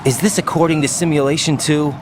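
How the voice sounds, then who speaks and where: A man's voice: on the high side, steady tone, fast, a little hiss or hum, close to an everyday voice. An actor playing a young male soldier, an anxious rookie agent who keeps asking uneasy questions. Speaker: infiltration agent.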